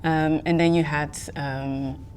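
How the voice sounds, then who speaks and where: A woman's voice: steady tone, takes it slowly, a little mellow. A woman in her forties from Belgium, speaking reflectively as an interview guest. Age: 40s. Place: seated in empty theatre auditorium. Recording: faint background rumble.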